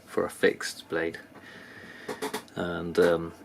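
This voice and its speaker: A man with a British accent giving a casual, unscripted product review in a halting manner. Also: low voice, completely flat delivery, vocal fry, noisy background. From United Kingdom. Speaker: knife reviewer. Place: indoors at a workbench.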